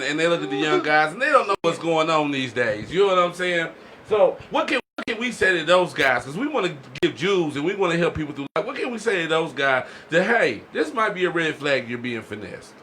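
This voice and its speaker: Bright-voiced man hosting a slangy podcast debate. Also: fairly high pitch, a little hiss or hum. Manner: emphatic, slangy. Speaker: podcast host. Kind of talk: casual group debate.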